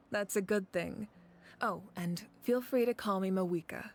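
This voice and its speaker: An actress playing a confident, caring woman who leads warriors in a video game, speaking in a composed, resolute and measured way. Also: medium pitch. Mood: warm, teasing. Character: confident, caring warrior leader woman, former fire deity. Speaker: game character. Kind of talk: scripted video game voice acting. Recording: game audio with background music.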